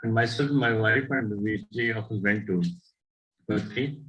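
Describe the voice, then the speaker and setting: An older man with an Indian accent whose first language is Tamil, telling personal stories at a devotional gathering in an unhurried, halting way and often correcting himself. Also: fairly low pitch, fairly bright tone, lo-fi sound. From southern India. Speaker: devotee sharing experiences. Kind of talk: personal storytelling talk.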